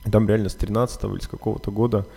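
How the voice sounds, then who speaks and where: Podcast host, male, lowish voice, slangy animated storyteller, long reverb. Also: very fast, gravelly, husky. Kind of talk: casual conversational interview.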